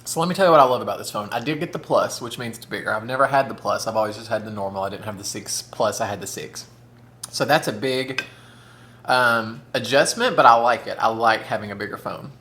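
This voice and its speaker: A man's voice: medium pitch, a little fast, a bit bright. Man in his thirties, camp, chatty food vlogger, often talks with his mouth full. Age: 30s. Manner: chatty, camp, says "y'all" and "honey". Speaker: mukbang vlogger. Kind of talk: casual chat while eating.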